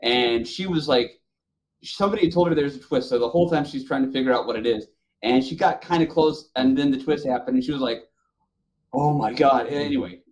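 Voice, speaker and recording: A man's voice: medium pitch, fairly quick, a little mellow, poor audio. Enthusiastic man chatting on a podcast. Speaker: podcast host. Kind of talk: casual panel chat about films.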